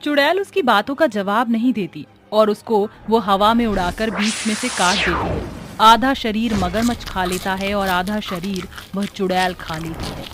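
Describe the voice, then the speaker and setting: A woman's voice: medium pitch, moderate pace. A woman reading scripted horror storytelling narration as the story's narrator. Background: background music.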